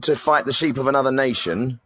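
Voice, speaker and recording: An actor playing a brisk, obtuse, provocative male host of a spoof radio phone-in, casual and prone to interrupting. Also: medium pitch, bright, forceful tone, lightly acted. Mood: probing.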